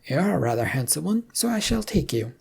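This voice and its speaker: A narrator voicing a husky, bossy princess spoiling for a fight. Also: medium pitch, big pitch swings, brisk, exaggerated voice. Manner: imperious, theatrical. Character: bossy, troubled, combative princess in torn dress.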